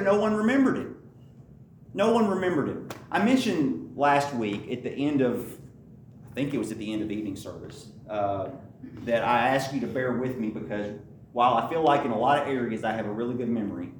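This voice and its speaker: A middle-aged man preaches in a storytelling way, pushing his voice up for emphasis and restarting phrases as he goes. He is caught from a distance by the mic in an echoey church with faint background noise. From Lebanon, Tennessee area. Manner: storytelling, rises in pitch for emphasis, frequent restarts. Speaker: pastor. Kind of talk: sermon.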